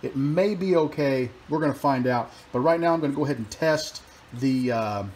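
A man's voice: medium pitch, normal speed, slight background noise. Chatty man in a home workshop. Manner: relaxed, chatty, with many "uh"s and restarts. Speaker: woodworking and metalworking hobbyist. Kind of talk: casual workshop vlog. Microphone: phone camera held at arm's length.

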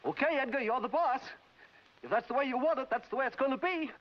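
High-voiced, fast-talking young man. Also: a little hiss or hum. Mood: agreeable. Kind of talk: 1940s film comedy acting. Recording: muffled old 1940s film soundtrack.

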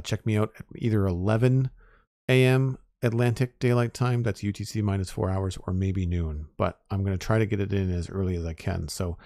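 A man's voice: fairly low pitch, dry recording, clean studio sound. A man casually chatting to his viewers while building in a game, his voice a little worn from talking all day. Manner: relaxed, chatty, talks to chat. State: losing his voice. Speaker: Minecraft streamer. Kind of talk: casual live-stream chat while building.

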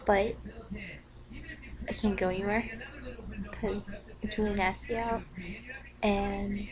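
A young woman in her teens rambling in a hyper, filler-heavy way in a casual bedroom webcam rant, her voice low and rough from the cold. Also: level tone, takes it slowly, a crowd in the background. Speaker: vlogger. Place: bedroom, indoors. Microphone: built-in webcam mic. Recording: noisy webcam recording with TV behind. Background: TV playing in the background.

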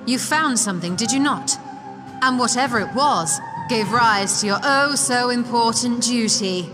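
An actress playing a stern, sardonic sorceress, formal and biting, in video game dialogue. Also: female voice, fairly high pitch, a little slow, over music, close to an everyday voice. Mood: cold, accusing. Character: stern, sardonic catfolk sorceress confronting a council.

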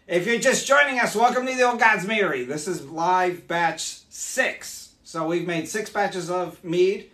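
A man in his forties, hoarse from losing his voice, chatting upbeat as he demonstrates mead making live in a small room. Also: high-pitched, unhurried, few pauses, sharp tone, echoey room. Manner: chatty, upbeat, explains as he works. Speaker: meadery owner hosting a live stream. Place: small home meadery room, indoors.

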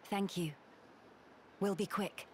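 A woman's voice: mid-range voice, steady tone, slow pace, background noise, lightly acted. A woman playing an earnest, dutiful young noble leader in a video game, speaking formally. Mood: concerned. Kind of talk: video game cutscene acting.

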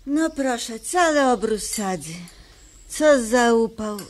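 A woman's voice: a little high, lively intonation, slow pace. A woman playing a homely, fussing, affectionate troll mother in a radio play.

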